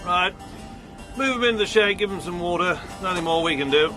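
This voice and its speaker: An actor playing a brisk, kindly army captain who gives crisp commands. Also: male voice, high-pitched, lively intonation. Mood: commanding. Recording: radio drama with music.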